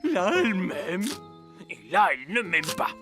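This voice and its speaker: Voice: mid-range voice, sing-song, a little slow, music in the background. An actor voicing a posh, easily astonished character in a French cartoon dub, theatrical and exclamatory. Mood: playful.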